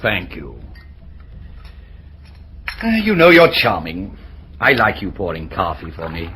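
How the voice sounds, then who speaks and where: Urbane older suitor: low voice, hugely expressive, florid courtly charm, hissy vintage radio recording. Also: male voice, slow pace, full character voice. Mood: wooing. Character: suave middle-aged male suitor, smooth flatterer. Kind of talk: old radio comedy-drama acting.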